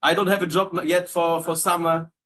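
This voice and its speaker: A man's voice: fairly high pitch, flat delivery, normal speed, fairly bright tone, slight background noise. A man from Germany hosting a casual live Q&A about hotel entertainment jobs, friendly and full of fillers and restarts. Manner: friendly, filler-heavy, repeats words while reading comments. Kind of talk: casual live-stream chat.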